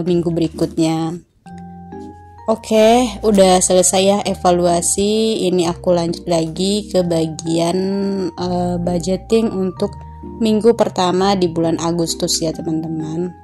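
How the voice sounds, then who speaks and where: A young adult woman, hoarse from a cough, giving a chatty voice-over for her personal finance vlog with frequent "eh" fillers. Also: lowish voice, fairly even intonation, a little slow, warm tone, faint music behind. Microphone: home voice-over mic.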